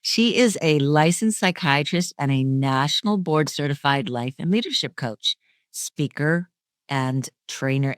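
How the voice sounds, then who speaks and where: A woman's voice: low-pitched, dry recording, clean studio sound. Upbeat woman hosting a podcast. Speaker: podcast host. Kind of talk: conversational podcast interview.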